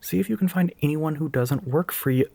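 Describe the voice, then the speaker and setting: Breathy, earnest young soft-boy spokesman played by a man. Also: on the high side, no echo, pitched above the performer's own voice. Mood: flustered, defensive. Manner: hesitant, halting, politely firm. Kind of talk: audio roleplay monologue, addressing the listener.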